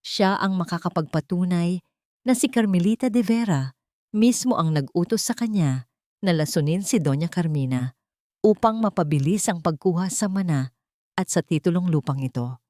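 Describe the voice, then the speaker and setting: An accusing opposing lawyer in an audio drama, read by a woman, formally addressing the judge. The voice is lowish and recorded very dry. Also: lively intonation, normal speed, warm tone, no echo, natural voice.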